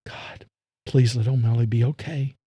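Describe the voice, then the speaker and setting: A woman's voice: very deep voice, steady tone, moderate pace, dark tone, slightly rough, dry recording, studio quality. A man narrating a story, voicing a tense young woman cop who is exasperated and pleading with her mother. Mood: worried.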